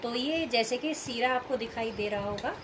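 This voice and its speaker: A high-voiced woman speaks with a sore throat. She explains things step by step in a casual tutorial manner, as the host of a craft video. Faint noise sits behind her voice in the recording. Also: moderate pace. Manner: explanatory, instructional.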